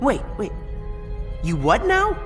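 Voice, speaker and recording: A man's voice: very high voice, huge pitch swings, takes it slowly, a bit bright, long reverb tail, full character voice. An actor playing a blunt, suspicious teenage boy who used to be a bad guy. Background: game music.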